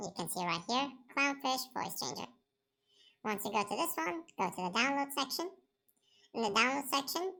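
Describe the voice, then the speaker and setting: A woman hosts a software tutorial, walking through each step in plain, repetitive instructional phrasing. Her voice is bright and forward, with a noticeable creaky fry running through it. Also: medium pitch, rough recording. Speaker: tutorial host.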